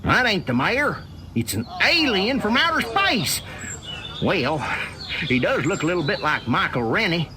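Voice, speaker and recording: A suspicious farmer in a very high voice that swoops up and down. He is an exaggerated, defensive yokel from a comedy video game. Noisy ambience runs behind him. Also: sing-song, measured pace. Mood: alarmed, then musing.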